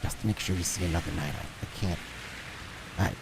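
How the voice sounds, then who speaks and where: A man voicing a plain, brooding, remorseful man in a hushed, halting, weary voice for a tabletop horror game. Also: sits low, vocal fry, background noise. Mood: pained, remorseful. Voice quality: hushed, sighing.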